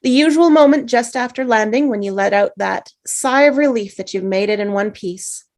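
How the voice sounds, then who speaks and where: A woman narrating the stage directions in a table read, with steady, measured script reading. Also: a little high. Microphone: video call.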